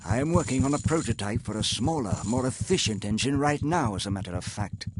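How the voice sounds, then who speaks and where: A man's voice: on the low side, slightly rough. A man playing a wealthy older industrialist in a video game, proud yet haunted, speaking formally and articulately with a wistful storyteller's manner. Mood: proud, businesslike. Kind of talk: scripted video game dialogue.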